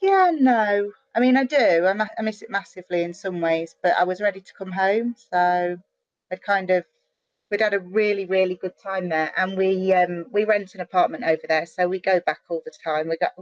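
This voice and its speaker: A chatty, animated middle-aged woman from the UK talks as a guest over a video call, her sentences tumbling out with restarts and fillers. The sound is close and dry, a little dull and lo-fi. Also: medium pitch, moderate pace, no echo. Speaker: guest, online marketer. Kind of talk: casual interview chat.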